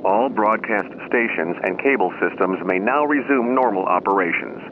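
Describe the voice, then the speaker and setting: A man reading a scripted emergency announcement as a radio station announcer, in a formal, measured manner. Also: fairly low pitch. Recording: dull lo-fi radio sound, loud noise.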